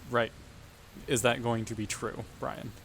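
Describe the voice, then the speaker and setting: A man's voice: mid-range voice, flat delivery, a little slow, gravelly, fairly bright tone, slight background noise, low-quality recording. A man playing a tabletop game, talking casually with the others at the table.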